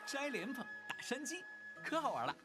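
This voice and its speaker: A playful, mischievous teenage boy from an animated fantasy. The speech is chatty and teasing, leaping widely in pitch. Loud music plays behind. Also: brisk. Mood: playful coaxing. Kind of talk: animated drama dubbing.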